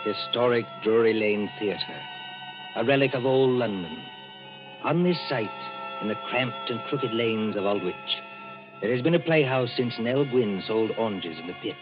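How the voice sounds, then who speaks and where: A man narrates a 1940s radio mystery in a slow, measured, ominous voice. The old broadcast sounds dull and lo-fi, with loud music swelling under him. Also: mid-range voice, animated. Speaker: announcer and narrator.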